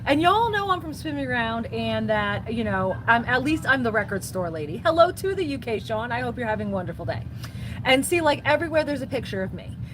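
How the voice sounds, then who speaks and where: A woman's voice: a little high, sing-song, a little fast. A woman who runs a record store, chatting casually on a livestream and reading out viewer comments in a chatty, upbeat way. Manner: chatty and upbeat, often saying "so yeah". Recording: noisy background.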